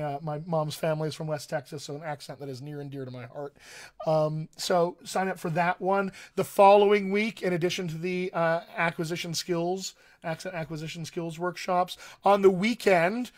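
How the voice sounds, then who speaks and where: A man with a slightly raised pitch talks as a dialect coach on a live stream to actors. He is chatty and encouraging and fills pauses with ums and uhs. It sounds like a simple computer mic at home. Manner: chatty, encouraging, with many fillers. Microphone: webcam or computer mic on a live stream.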